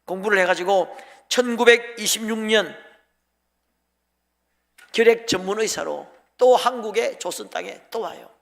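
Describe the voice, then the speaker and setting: A man's voice: high voice, measured pace, creaky, pauses often, a bit bright. A man preaching a sermon as a pastor, telling a story in an emotive, emphatic way and breaking off phrases for weight. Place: large church sanctuary during a worship service. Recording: long hall reverb.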